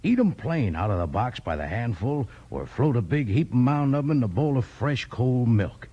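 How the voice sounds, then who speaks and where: A man's voice: medium pitch, sing-song, normal speed, mellow, husky, lo-fi sound. An American man doing folksy, enthusiastic cowboy-style advertising for children as a 1950s radio announcer. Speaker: sponsor announcer and pitchman.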